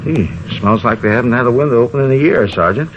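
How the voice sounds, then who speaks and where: A man plays a talkative, gossipy landlord in a vintage radio crime drama. His pitch jumps around as he fusses and rambles in a folksy, excitable way. The old recording is muffled with some light noise. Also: fast, lightly acted. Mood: outraged, excited. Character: fussy, nosy, talkative landlord, gossipy and indignant. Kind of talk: old-time radio detective drama acting.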